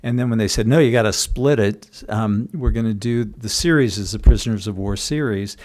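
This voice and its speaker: Man, author at a book talk, mid-pitched voice, inquisitive, explanatory, rephrases often. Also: fairly quick, a little mellow. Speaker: author speaking about his book. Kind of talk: public book talk with audience questions.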